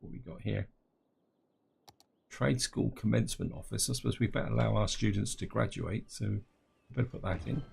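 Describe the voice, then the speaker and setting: A man with a slightly croaky throat giving casual gameplay commentary, thinking aloud and talking to his viewers. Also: lowish voice, moderate pace, long pauses, darkish tone, slight background noise. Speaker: gaming channel host.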